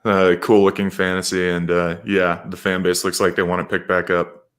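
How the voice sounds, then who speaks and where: A man's voice: sits low, flat delivery, normal speed, a bit bright. A man chatting casually as a guest on a panel show. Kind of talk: casual chat.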